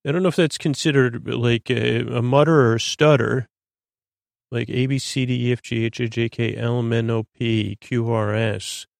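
A man with a creaky voice hosting a bedtime podcast, soothing and meandering, stammering as he drifts off into tangents. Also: mid-range voice, fairly even intonation, normal speed, dry recording, studio quality. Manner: soothing, lulling delivery. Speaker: podcast host. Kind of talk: rambling bedtime monologue.

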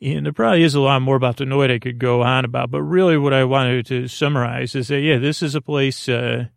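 A man hosting a sleep podcast, rambling in a gently silly, soothing way, halting and circling back on his words with a gravelly creak. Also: medium pitch, steady tone, no room sound, studio quality. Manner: meandering, halting, trailing off mid-word and circling back. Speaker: podcast host. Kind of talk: rambling bedtime-story monologue to help listeners fall asleep.

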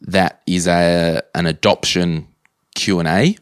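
A man's voice: low-pitched, fairly even intonation, slow, smooth, dry recording, studio quality. An Australian man hosting a podcast, chatting casually and thinking aloud in a rambling, tentative way. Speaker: podcast host. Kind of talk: casual interview chat.

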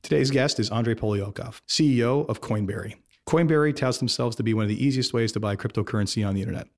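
Hoarse, fast-talking podcast host man. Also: mid-range voice. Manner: friendly, joking banter. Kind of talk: podcast interview hosting.

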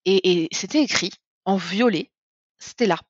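A woman tells a personal story in a candid, halting way, often restarting her sentences. Her voice sits at an ordinary pitch for a woman. The recording is dry, with a slightly muffled, lo-fi tone. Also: husky, no room sound. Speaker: guest. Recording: slightly dull, lo-fi sound.

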